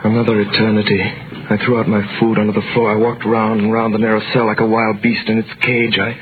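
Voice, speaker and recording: A man's voice: fairly low pitch, monotone, fast talker, close to an everyday voice. A muffled old radio recording with faint hiss carries a male actor as a wrongly jailed young sailor. He is theatrical and intense, a brooding, desperate man close to madness, telling and living his ordeal. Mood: brooding despair. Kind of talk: 1930s-40s radio drama acting and narration.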